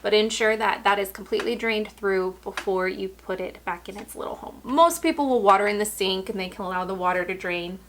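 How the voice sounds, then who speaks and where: A woman's voice: mid-range voice, a little fast, few pauses, slight background noise. Chatty woman losing her voice. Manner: chatty, relaxed, and explanatory, addressing viewers directly. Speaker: houseplant care channel host. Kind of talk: casual instructional talk.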